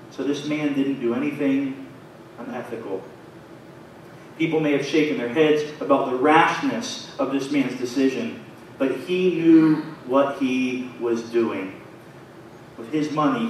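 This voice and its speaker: A man in his thirties preaching a sermon in a small church, his phrases rising and falling in a measured way. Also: a little high. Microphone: far camera, pulpit mic. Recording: very echoey church from far off, faint noise.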